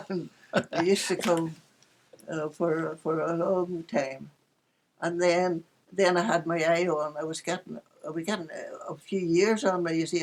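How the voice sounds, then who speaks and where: A woman's voice: fairly low pitch, low-quality recording. Elderly Northern Irish woman telling life stories. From Northern Ireland. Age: 80s. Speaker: interviewee recalling her life. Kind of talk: casual reminiscence, storytelling.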